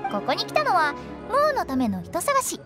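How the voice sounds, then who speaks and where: A woman's voice: extremely high pitch, wildly swooping pitch, normal speed, full character voice. A woman voicing a cheeky teenage girl who runs a funeral parlor in an anime game, teasing and theatrical with a sweet salesy patter. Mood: animated, explaining. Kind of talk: Japanese anime game voice acting. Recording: music under the voice. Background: game music.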